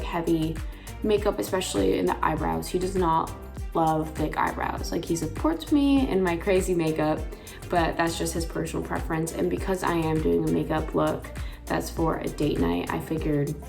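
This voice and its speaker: A young woman with a raspy voice, chatting casually through a makeup tutorial with lots of filler words. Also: fairly low pitch, darkish tone. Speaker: beauty vlogger.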